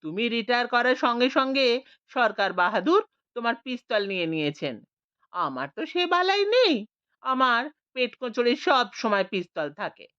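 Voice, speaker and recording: A woman voicing a sly older man who teases and mocks in a low voice, in a storybook reading. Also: very animated, moderate pace, a bit bright, clean tone, dry recording. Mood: teasing. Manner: low, teasing, sarcastic talk. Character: sly mocking older male ex-thief. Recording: dull lo-fi dry recording.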